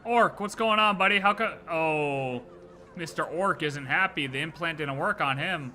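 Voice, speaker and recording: A man's voice: fairly high pitch, unhurried, bright, forceful tone, slight background noise. A man streaming a game live, reacting in a casual, joking way and swearing freely.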